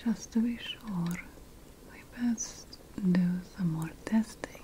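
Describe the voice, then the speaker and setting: A woman whispering in a breathy, gentle, conspiratorial way, playing a secretive ancient witch in an ASMR fantasy roleplay. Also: medium pitch, slow pace, noisy background. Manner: gentle, conspiratorial, addressing the listener as an assistant. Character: secretive ancient witch priestess researching magical potion plants.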